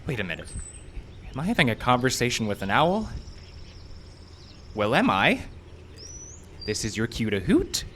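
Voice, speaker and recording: A man's voice: mid-range voice, very animated, moderate pace, pauses often, a bit bright. A man playing a hapless, self-doubting filmmaker in a live audio drama, rambling in a jittery, self-mocking way. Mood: wry rambling. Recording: outdoor live stage, faint background noise.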